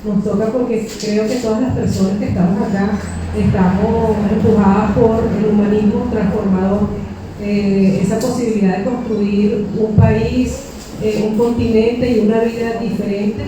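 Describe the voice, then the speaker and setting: A woman from Venezuela, informally introducing a live panel discussion as host, in a halting way with drawn-out, repeated words. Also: mid-range voice, fairly even intonation. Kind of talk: informal spoken introduction to a live public talk. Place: indoors in a library event space. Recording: very echoey room, distant mic, faint noise.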